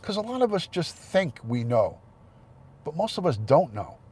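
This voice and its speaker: A man's voice: on the high side, very animated, normal speed, darkish tone, breathy edge. A man hosting a casual livestream, congested with a head cold, chatting and thanking viewers by name. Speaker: livestream host.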